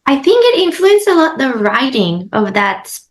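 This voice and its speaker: A woman filmmaker answering interview questions in a halting way, with frequent "um" and "uh" pauses. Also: high voice, big pitch swings. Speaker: filmmaker guest.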